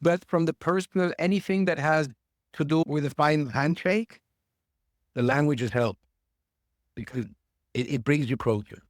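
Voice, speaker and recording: A man being interviewed as a podcast guest over a remote call, thoughtful and halting, often rephrasing himself. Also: on the high side, no room sound, studio quality. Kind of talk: casual interview chat.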